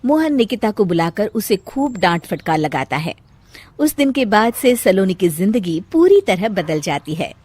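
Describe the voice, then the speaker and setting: A woman's voice: medium pitch, big pitch swings, moderate pace, a little mellow, slightly rough. A woman narrating a cartoon story from a script.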